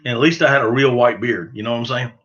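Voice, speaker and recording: A man's voice: mid-range voice, fast, a bit bright, lo-fi sound. A middle-aged man with a Southern US accent chatting casually on a livestream, rambling in a folksy, self-deprecating way. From southern United States. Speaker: livestream host of a duck hunting channel. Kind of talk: casual livestream chat, answering viewers.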